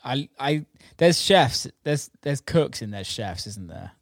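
A man's voice: medium pitch, animated, slow, gravelly, no echo, clean studio sound. A British man chatting casually and informally, enthusiastic and given to swearing. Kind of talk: casual chat about food.

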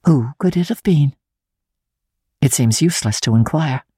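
A woman narrates a classic novel in a low, husky voice. Her delivery is measured and theatrical, with very expressive rises and falls. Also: dry recording, clean studio sound. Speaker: audiobook narrator. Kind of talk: dramatic literary narration, first-person diary.

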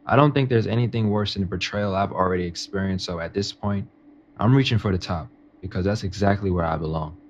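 A man playing a deep-voiced, confident young aide. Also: brisk, muffled recording, slight background noise, lightly acted. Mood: earnest, determined. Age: young adult. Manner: respectful, earnest, self-assured. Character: confident, ambitious nineteen-year-old male estate aide, polite. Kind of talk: modern audio drama acting.

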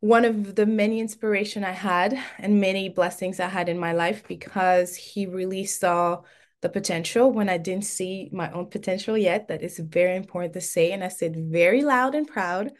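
A woman from Senegal whose first language is French, hosting a solo podcast episode in a chatty, laughing way. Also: mid-range voice. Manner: chatty and warm, laughs often. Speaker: podcast host.

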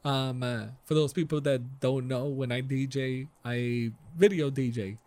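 A man co-hosting a podcast in casual chat, excitable and quick to laugh as he runs the tech segment. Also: medium pitch, dry recording.

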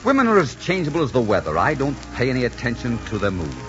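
An actor playing a suave, arrogant pirate leader in a 1940s radio drama. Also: male voice, medium pitch, huge pitch swings, fast talker. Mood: cool, dismissive. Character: swaggering, cynical pirate leader posing as respectable gentleman. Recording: muffled 1940s radio recording with music.

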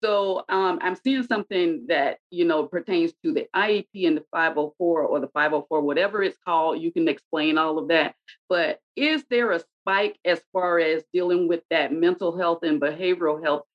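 A woman moderates a panel in a low, easy voice, asking rambling, conversational questions. The audio is dull and muffled, as though the highs were cut off. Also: normal speed. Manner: conversational, asks questions at length.

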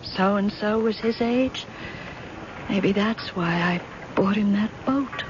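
A woman's voice: mid-range voice, slow pace, natural voice. An actress playing a bitter, scheming wife who loathes her husband, in an intense, theatrical 1970s radio drama style. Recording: dull, hissy old radio broadcast.

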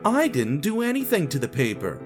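Man acting an exasperated young office worker, pitched up. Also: wildly swooping pitch, moderate pace, faint music behind. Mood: annoyed, indignant. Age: 20s. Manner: exasperated, insistent, exclaiming. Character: annoyed, bland office worker man in his twenties.

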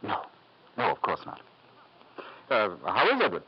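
Family doctor, lowish voice, reserved, quietly disapproving, expressive melody, old muffled radio drama. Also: male voice, unhurried, husky, heavily acted. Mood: fond, guarded. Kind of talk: 1950s radio drama acting. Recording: muffled, noisy 1950s radio broadcast.

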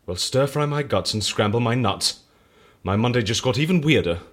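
A man's voice: medium pitch, expressive, moderate pace, close to an everyday voice. A man playing a fussy, sceptical creature of habit in an audio drama, hesitant and full of incredulous questions. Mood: incredulous, wavering.

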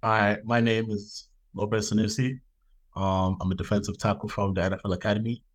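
Relaxed young male guest from Ireland, somewhat low voice, easygoing unhurried answers. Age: young adult. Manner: relaxed, laid-back, many ums and "you know". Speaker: guest football player. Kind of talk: casual interview answers.

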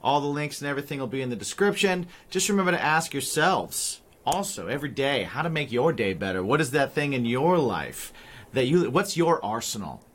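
Male co-host, mid pitch, relaxed, chatty sign-off voice. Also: a little fast. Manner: easygoing, agreeable, chatty. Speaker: podcast co-host. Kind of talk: casual podcast chat.

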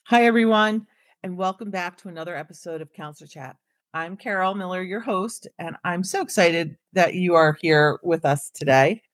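A woman hosting a solo podcast for school counselors, her voice hoarse and raspy, talking casually and encouragingly to her listeners as friends. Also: lowish voice, moderate pace.

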